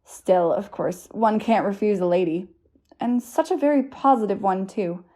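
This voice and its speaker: A woman's voice: mid-range voice, moderate pace, mellow, close to an everyday voice. A woman playing a smug, sly male police inspector in an amateur audio drama, formal and condescending.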